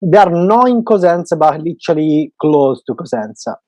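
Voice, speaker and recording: A man's voice: a little high, sing-song, unhurried, dry recording. A man in his twenties from Italy, speaking English with an Italian accent as a podcast host. He is eager and talkative, and he searches for words. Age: 20s. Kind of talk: casual podcast chat.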